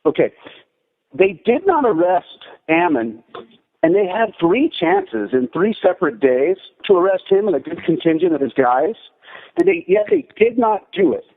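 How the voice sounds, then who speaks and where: High-pitched, animated man on a tinny phone. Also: normal speed, creaky. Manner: insistent, forceful, commanding. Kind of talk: urgent warning on a phone call. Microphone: telephone.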